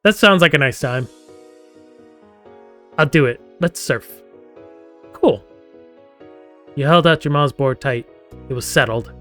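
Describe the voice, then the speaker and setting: A man's voice: on the high side, fairly bright tone. A man streaming a game live, reading its story text aloud and breaking off into chatty, joking asides. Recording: music playing behind the voice.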